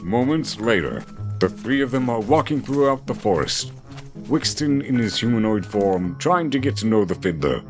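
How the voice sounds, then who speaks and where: A man's voice: on the low side, very animated, moderate pace, soft background music. A man reading scripted narration for an audio drama, in a storytelling style.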